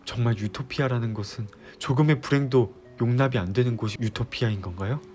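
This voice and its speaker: A man playing an earnest, disillusioned young fiancé in an audio drama, delivering a heartfelt, pleading monologue with deliberate pauses. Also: mid-range voice, darkish tone, lightly acted. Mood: impassioned, resolute. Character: earnest, disillusioned young male fiance, resolved to leave utopia. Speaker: audio drama creator and voice actor. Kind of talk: Korean ASMR audio drama roleplay. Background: background music.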